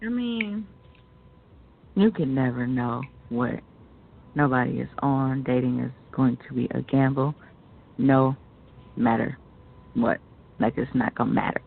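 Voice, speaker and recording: An American woman with a creaky voice chatting bluntly and slangily as a radio co-host, giving her opinions. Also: rumbling low, unhurried, pauses often, slight background noise. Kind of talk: casual opinionated chat. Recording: narrow tinny phone-like line.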